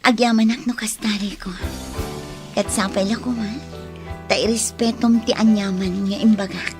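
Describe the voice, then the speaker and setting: An actress playing an anxious, loving young woman in a radio drama, with a breathy voice and an Ilocano accent. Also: mid-range voice, fairly even intonation, normal speed, over music, lightly acted. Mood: earnest, pleading. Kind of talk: Filipino radio comedy drama acting.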